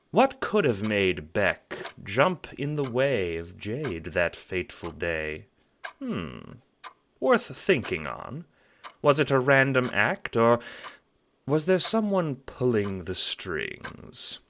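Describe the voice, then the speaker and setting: A man voicing a calm, courteous, smugly sinister puppet-like host in an audio drama, speaking in a mannered, formal, condescending way. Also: medium pitch, slow pace, a little hiss or hum, voice pushed up, heavily acted. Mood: smug musing. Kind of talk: theatrical audio drama narration.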